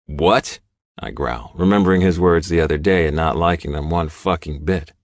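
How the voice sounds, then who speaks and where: A man narrating gritty first-person fiction as an audiobook, with an intense, deliberate delivery. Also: very deep voice, no echo.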